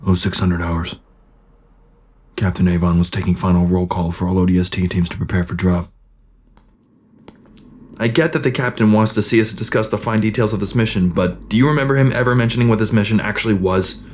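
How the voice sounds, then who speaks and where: A man's voice: sits low, fairly even intonation, brisk. A man playing a bitter, battle-worn shock trooper sergeant in a sci-fi audio drama, terse and brooding. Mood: businesslike.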